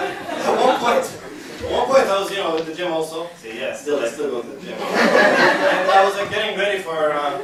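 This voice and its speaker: A young man doing stand-up comedy on a university stage, rambling and self-mocking with lots of fillers. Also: a little high. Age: young adult. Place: university stage with live audience. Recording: echoey hall, distant mic, loud noise.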